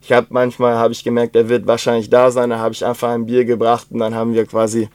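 A young man with a slight Swabian accent, talking casually and rambling with many pauses, fillers and restarts. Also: mid-range voice, monotone, darkish tone, clear, resonant tone, dry recording. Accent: German with Swabian traces. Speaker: interview guest. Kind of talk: casual conversation.

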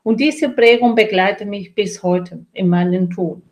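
A woman's voice: medium pitch, unhurried. The invited guest on an educational talk show, a middle-aged woman with a Latin American accent, earnestly setting out what she believes in. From Chile and Brazil. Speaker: interview guest, trainer and coach. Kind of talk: reflective interview answer about own life and work.

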